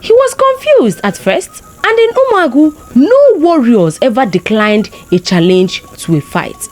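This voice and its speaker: A woman with a Nigerian accent telling a folktale in an expressive narrating style. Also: high-pitched, measured pace, soft background music. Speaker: storyteller.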